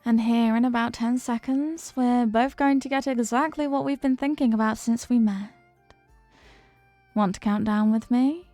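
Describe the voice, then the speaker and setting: A woman's voice: a little high, fairly even intonation, moderate pace, dark tone, no echo, soft background music, lightly acted. A woman playing a flirty, playful stranger at a New Year's party in an intimate audio roleplay, with a coy, teasing, murmuring delivery. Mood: tender, eager.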